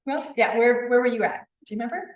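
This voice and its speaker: A woman's voice: a little high, racing. Casual American woman speaker, room-mic'd at a distance, echo, chatty with the crowd. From United States (St. Louis, Missouri). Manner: chatty, asks the audience questions. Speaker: university recruitment and admissions officer. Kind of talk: informal presentation to a student club. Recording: roomy sound, mic at a distance.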